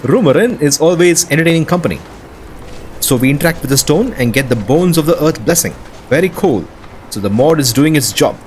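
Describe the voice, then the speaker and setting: A man's voice: fairly high pitch, expressive, moderate pace, slight background noise. A man hosting a video, narrating gameplay in a casual, enthusiastic, lightly scripted way. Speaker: video host. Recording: music behind the voice. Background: background music.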